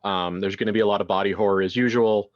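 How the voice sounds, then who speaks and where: A man's voice: lowish voice, steady tone, fast talker, lo-fi sound. A man hosting a horror roleplaying game in a casual, improvised way, with wry joking asides. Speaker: game master and host.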